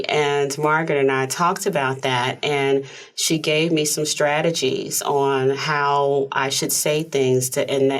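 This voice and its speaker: A woman hosting a talk show in a studio, soft-spoken and conversational. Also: low voice. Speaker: host. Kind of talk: casual interview chat.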